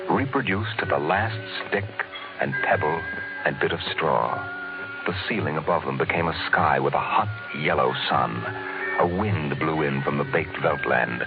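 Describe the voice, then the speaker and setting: A grave American storyteller narrates an old science fiction radio play. His voice is very deep and solemn, painting each image with care. The sound is narrow and aged, with prominent music behind him. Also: heavily acted. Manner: measured, solemn, vivid storytelling. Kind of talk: 1950s radio drama narration. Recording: muffled vintage radio recording, loud music.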